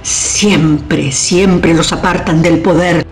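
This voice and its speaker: A woman's voice: lowish voice, fairly even intonation, very slow. An actress playing a proud, domineering older queen in a historical radio drama, with a theatrical, scornful delivery. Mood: taunting, proud. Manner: theatrical, emphatic repetitions, haughty. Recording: music under the voice.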